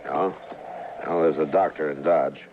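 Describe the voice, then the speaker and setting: An actor playing a calm, wry American frontier marshal in a 1950s radio western, speaking in a dry, measured way with a deep voice. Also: male voice. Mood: firm, calm. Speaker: lead actor. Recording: muffled, noisy vintage radio recording.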